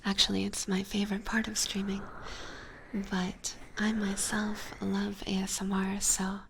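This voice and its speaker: A young woman streaming ASMR, whispering softly into a close microphone as she chats with viewers. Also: mid-range voice, completely flat delivery, unhurried, gravelly, a little hiss or hum, poor audio. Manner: soft whisper, reading and answering chat. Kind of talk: whispered ASMR livestream chat.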